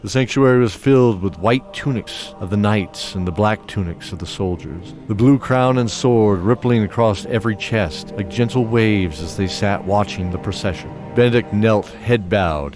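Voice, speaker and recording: A man narrating a fantasy audio drama in a lowish voice, delivering steady, descriptive scripted storytelling. Music plays clearly beneath him. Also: moderate pace, hardly any pauses.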